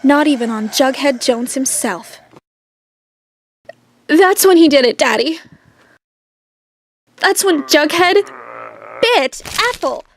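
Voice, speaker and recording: Brief: woman as a high-voiced, haughty heiress narrator. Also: lively intonation, takes it slowly, long pauses, a little hiss or hum, heavily acted. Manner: haughty, dramatic storytelling. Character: spoiled rich teen girl, narrating to her father. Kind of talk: fan audio drama narration.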